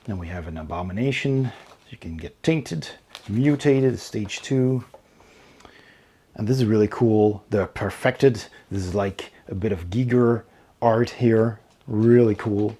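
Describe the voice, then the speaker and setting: Slow-talking, slightly husky low-voiced man. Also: mellow. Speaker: tabletop game reviewer and channel host. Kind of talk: casual unboxing commentary.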